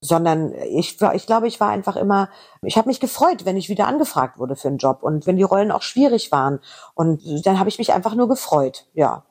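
A middle-aged woman from Berlin with a faint Berlin accent talks as an interview guest. Her voice is a little husky, and she rambles quickly and eagerly through her anecdotes. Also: medium pitch, sing-song, darkish tone. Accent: slight Berlin. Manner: chatty, fast, rambling anecdotes. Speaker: voice actress guest. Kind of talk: casual interview chat.

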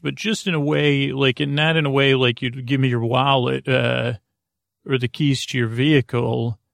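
Male sleep-podcast host, gravelly, meandering and halting, dry close sound. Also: no echo. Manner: meandering, halting, lots of fillers and restarts; low-key, drowsy delivery. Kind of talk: rambling sleep podcast intro.

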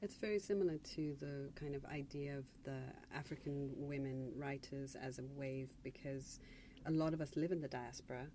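A woman from Zambia, a novelist speaking on a panel in a reflective, measured way, often restarting mid-phrase. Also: extremely low pitch, warm tone, a little hiss or hum. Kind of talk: panel discussion.

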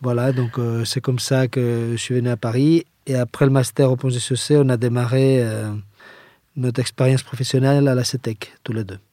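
A man's voice: medium pitch, little pitch movement, brisk, dark tone, no room sound. A man from Spain speaking French with a Spanish accent, answering interview questions in a conversational way as an engineer. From Valencia area, Spain. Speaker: interviewee, engineer and architecture firm co-founder.

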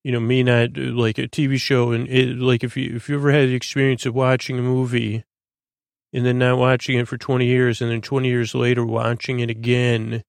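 A man hosting a bedtime podcast in a creaky, lulling voice, droning along in a halting, stammering, meandering monologue meant to help listeners sleep. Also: medium pitch, level tone, no room sound, studio quality. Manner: halting, stammering, repeats and plays with words. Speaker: podcast host.

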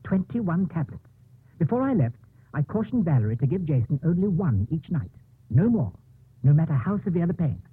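An actor playing a weary, well-meaning hospital doctor, earnest and explanatory, in 1950s radio drama style. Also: male voice, fairly high pitch, sing-song, normal speed, slightly rough, no echo, heavily acted. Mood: earnest, insistent. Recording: tinny old radio broadcast.

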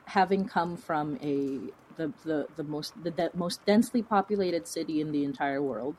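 Woman, slightly low pitch, casual interview guest, animated, giggly, informal rambling delivery. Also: moderate pace. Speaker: podcast creator and writer being interviewed.

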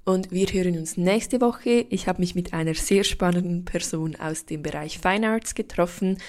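A woman's voice: lowish voice, moderate pace, darkish tone. A woman reading a friendly, upbeat scripted introduction to a podcast episode as its host.

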